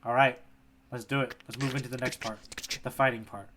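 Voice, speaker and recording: A man's voice: mid-range voice, steady tone, fairly quick, a bit bright. A man co-hosting a casual manga readalong podcast, talking in an informal, enthusiastic way. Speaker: podcast co-host.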